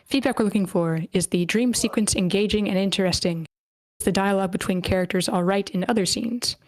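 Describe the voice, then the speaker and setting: Woman, casual host, playful manner, mid pitch, dry close recording. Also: brisk, no room sound. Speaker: host of an online script table read. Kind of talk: casual meeting hosting.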